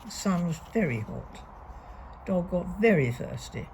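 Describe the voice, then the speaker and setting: A woman reading a picture book aloud to young children, deliberately and expressively, leaning on key words. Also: on the low side, very animated, a little mellow, a little hiss or hum. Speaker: storybook reader.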